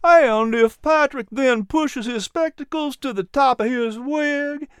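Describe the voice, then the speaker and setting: Narrator doing an excitable high-voiced female pet. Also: slow, clear, resonant tone, no room sound, clean studio sound. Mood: emphatic. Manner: emphatic, exclaiming. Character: lively female animal companion, excitable.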